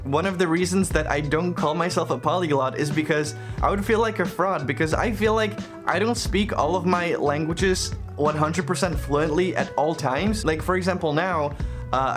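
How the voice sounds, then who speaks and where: Chatty young Czech man, slightly high voice. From Czech Republic. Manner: chatty and animated, self-deprecating jokes. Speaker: language vlogger. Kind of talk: casual scripted talk to camera. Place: small bedroom in Japan. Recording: music playing under the voice.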